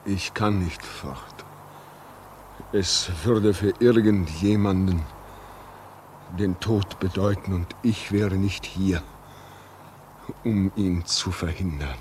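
An actor playing a brooding, dutiful railway signalman in a 1950s German radio drama, speaking gravely and haltingly. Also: deep voice, darkish tone, a little hiss or hum. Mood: anguished, fearful. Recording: muffled-edged 1950s radio drama recording.

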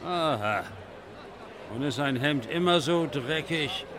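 A man's voice: a little high, animated, slow. An actor playing a blunt, prying, disapproving male onlooker at a gig in a radio play. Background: crowd noise.

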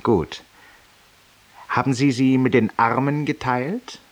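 A wordy ironic ex-gentleman tavern regular, husky, kinda far from the mic, old 50s radio play. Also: mid-range voice, fairly even intonation, slow pace. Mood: suave, ingratiating. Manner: polished, loquacious, ironic, rhetorical. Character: urbane, talkative, self-mocking judge-penitent, a tavern regular. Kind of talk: 1950s German radio drama monologue. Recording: distant-sounding 1950s radio recording.

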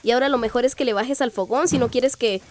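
A woman's voice: fairly high pitch, fast, darkish tone, a little hiss or hum, natural voice. An actress playing a young, sympathetic and anxious female friend in a radio drama. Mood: tender. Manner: concerned, questioning.

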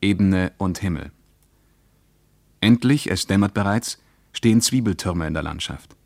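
Low-voiced, weary travel diarist. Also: male voice, a little slow, vocal fry, a little mellow, no echo, clean studio sound. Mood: weary, observant. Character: weary male traveller reading his journal aloud. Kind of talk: literary radio drama diary narration.

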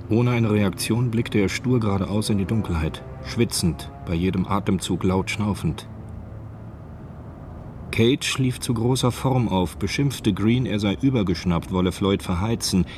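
A man's voice: fairly low pitch, a little mellow. A man narrating a German radio drama in scripted literary prose. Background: music.

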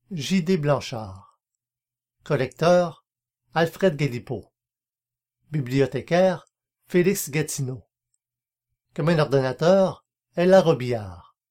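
Podcast narrator, medium pitch, scripted reading, formal, measured delivery. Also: darkish tone. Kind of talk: scripted narration, reading archival newspaper notices.

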